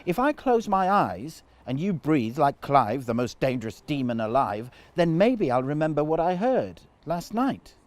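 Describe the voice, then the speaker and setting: A man voicing a shy, excitable female dragon in a children's story. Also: on the high side, measured pace, hardly any pauses. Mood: eager.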